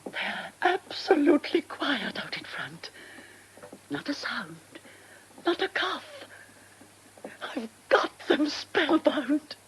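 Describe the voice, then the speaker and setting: Casting: eccentric faded older diva, radio drama monologue, theatrical and halting, very unhurried, faint noise on the recording. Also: female voice, high voice, very animated, full character voice, rough recording. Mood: rapturous, grand. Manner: theatrical, halting, breathy muttering to herself. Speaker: actress.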